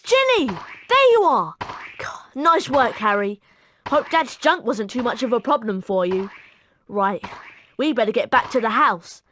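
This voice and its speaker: A woman voicing a chummy, excitable young schoolboy wizard in a video game, with loud music behind. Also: on the high side, measured pace, slight background noise. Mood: relieved, chummy.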